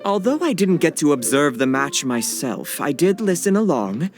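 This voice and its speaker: A man voicing a courteous, knowledgeable card-game master in an English game dub, with formal, measured diction. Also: on the high side, very animated. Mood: instructive, reassuring. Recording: studio recording over background music. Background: game background music.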